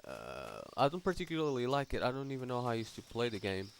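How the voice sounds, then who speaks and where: A man's voice: medium pitch, big pitch swings, fast talker, creaky, a little hiss or hum. A man giving casual, somewhat hesitant and rambling video game walkthrough commentary as he plays. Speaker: gaming video host.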